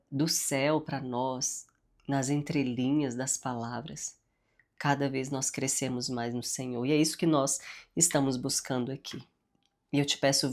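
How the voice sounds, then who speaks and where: A woman with a congested, nasal voice teaching the Bible and praying, often adding "né?" and "amém?" as she speaks, with a Brazilian accent. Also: very deep voice. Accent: Brazilian Portuguese. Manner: warm, frequent "né?" and "amém?" tags. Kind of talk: devotional Bible teaching with prayer.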